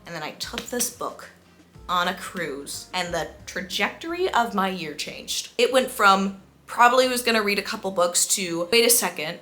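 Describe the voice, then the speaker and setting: A woman talking casually to viewers as a book vlogger, with a gushing, excitable and emphatic manner. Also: a little high.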